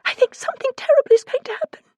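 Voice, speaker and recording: A woman's voice: very high voice, fairly even intonation, quick pace, no echo, voice pushed up. A woman voicing a frightened young woman who is breathless and near hysterical with tears. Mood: frightened, tearful.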